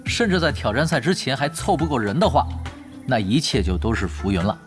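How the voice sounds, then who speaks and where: 男演员饰演从容自信、语调多变的男队长. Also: male voice, medium pitch, very animated, fairly quick, natural voice. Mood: calm, assured. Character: easygoing, confident veteran male esports team leader. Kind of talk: Mandarin audio drama acting. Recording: clear music under the voice.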